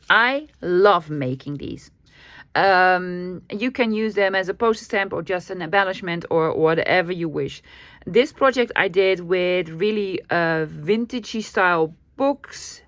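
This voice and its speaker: A woman's voice: fairly low pitch, a bit bright, no echo. A middle-aged woman from the Netherlands with a Dutch accent, hosting a casual craft vlog in a friendly, self-deprecating way with frequent laughs and ums. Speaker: craft channel host. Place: craft room desk.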